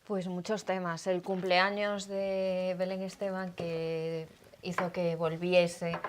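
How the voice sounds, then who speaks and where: Lively woman hosting a chat show. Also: fairly low pitch, slow pace, faint music behind. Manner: lively, laughs often. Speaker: host.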